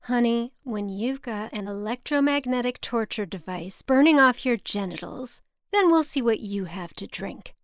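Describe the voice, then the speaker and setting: A woman's voice: fairly high pitch, expressive, normal speed, no room sound, clean studio sound. A woman voicing a distraught, self-pitying older mother who is profane and accusing, in an audiobook story. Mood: bitter, defensive.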